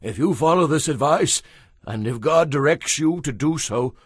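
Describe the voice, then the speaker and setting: Older male priest for audio Bible drama: earnest, declamatory, highish pitch, very animated. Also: normal speed, slightly rough, dry recording, studio quality. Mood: earnest advising. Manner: declamatory, exhorting. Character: earnest, emphatic old male priest and father-in-law.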